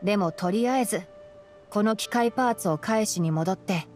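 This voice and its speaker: A woman playing a young male forest ranger in a Japanese anime game, calm and studious, explaining things in a measured way. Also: medium pitch, faint music behind, close to an everyday voice. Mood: gently firm. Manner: measured, matter-of-fact explaining.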